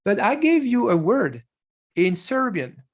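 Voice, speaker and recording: A man's voice: sits high, big pitch swings, a little slow. Middle-aged guy lecturing over a webcam, explains step by step, restarts a lot. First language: French. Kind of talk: online lecture.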